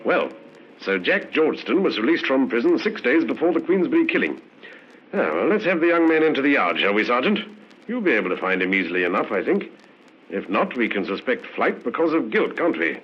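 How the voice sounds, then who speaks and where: A shrewd, unhurried man acting a police inspector from a vintage radio play. His pitch moves freely as he probes drily and gives quiet orders, on a muffled old recording. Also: sing-song, a little hiss or hum, natural voice. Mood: dry, probing. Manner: dry, probing, quietly commanding. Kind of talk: 1950s radio drama acting.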